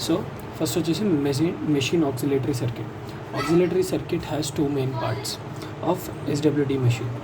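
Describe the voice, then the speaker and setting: A man with a Telugu accent teaching informally, explaining step by step and asking questions that he answers himself. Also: a little high. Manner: explanatory, asks and answers own questions, slows to dictate English sentences. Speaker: teacher explaining a circuit diagram to students. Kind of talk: informal lesson, mixing Telugu with English terms. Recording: distant mic, noisy with vehicle sounds.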